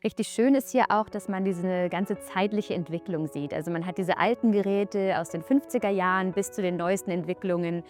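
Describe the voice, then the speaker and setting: A woman's voice: mid-range voice, moderate pace, few pauses, darkish tone, faint music behind. A woman explaining objects in a museum collection in an informal, explanatory way, stressing key words as she goes.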